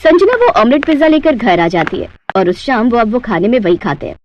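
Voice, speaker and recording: Woman narrator for kids cartoon story, high voice, scripted read, bit muffled. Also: very animated, a little fast, a little hiss or hum.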